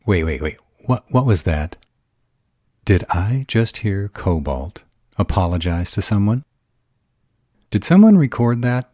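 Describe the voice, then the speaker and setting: A man playing an arrogant, commanding vampire in an audio drama, speaking in a measured, imperious way. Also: sing-song, warm tone, no room sound, clean studio sound. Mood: mocking. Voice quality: very deep, husky. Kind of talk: dramatic audio roleplay acting.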